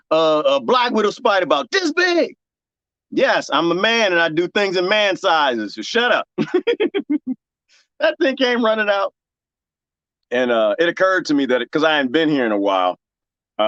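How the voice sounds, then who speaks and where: A man with a Texas twang talks to his viewers in a high voice that jumps all over the place, joking and laughing as he goes. The sound is dry and a little dull. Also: dry recording. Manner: animated and joking, laughs often, talks straight to his chat. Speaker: livestream host reading viewer comments. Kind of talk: casual chat with viewers. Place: garden, planting cuttings.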